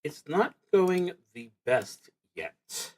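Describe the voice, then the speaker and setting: A man streaming a solo tabletop roleplaying game, musing aloud in a halting way and reading out prompts as he plays. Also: fairly high pitch, sing-song, darkish tone. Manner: halting, musing, pauses mid-sentence.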